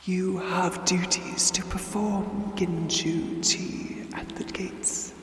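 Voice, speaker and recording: A woman playing a menacing undead emperor in an audio drama, with a breathy whisper and a slow, commanding manner. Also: on the low side, flat delivery, over music. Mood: sinister command. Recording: distant whisper in echoing hall.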